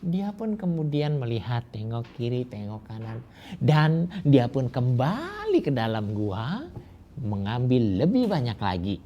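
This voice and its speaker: A man telling a folk tale to children, slow and drawn-out, with rhetorical questions. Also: mid-range voice, huge pitch swings, dark tone. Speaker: storyteller. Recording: dull lo-fi recording with faint hiss.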